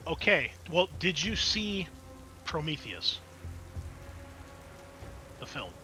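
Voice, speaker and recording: A man's voice: a little high, very animated, takes it slowly, bright, forceful tone, faint music behind, rough recording. A man acting as game master, narrating a tabletop roleplaying game in a casual, explanatory, conversational way. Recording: clear background noise.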